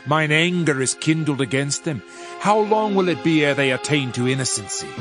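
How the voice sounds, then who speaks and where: Male actor as stern God of prophecy, solemn, declamatory, mid pitch, dramatized Bible reading, prominent background music. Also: natural voice. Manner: solemn, declamatory, archaic phrasing. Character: God speaking in grave, wrathful judgment through prophecy.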